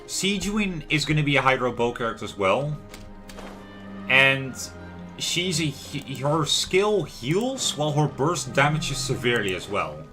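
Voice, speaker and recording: A man's voice: mid-range voice, sing-song, a little slow, bright, forceful tone. A man giving casual, rambling gameplay commentary as a livestream host, chatting to viewers as he goes. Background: lo-fi music and game sound.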